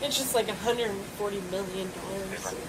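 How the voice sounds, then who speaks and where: A woman's voice: medium pitch, normal speed, creaky. A woman in her twenties, tipsy and upset, rambling and swearing to a police officer. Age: 20s. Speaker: bar patron in a police stop. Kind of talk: emotional rambling talk. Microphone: police body camera. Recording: noisy body camera audio.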